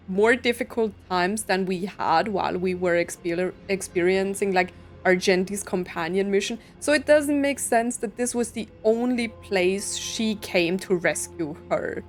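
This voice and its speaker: A woman giving casual let's-play commentary, thinking aloud in a puzzled, speculative way. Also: medium pitch. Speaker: gaming content creator playing and reacting to a story quest.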